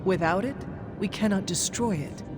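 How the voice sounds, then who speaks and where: A woman's voice: medium pitch, moderate pace, close to an everyday voice. A woman playing a stern, guarded warrior hero in a video game, speaking formally and without contractions. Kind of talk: restrained video game acting. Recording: voice under clear background music.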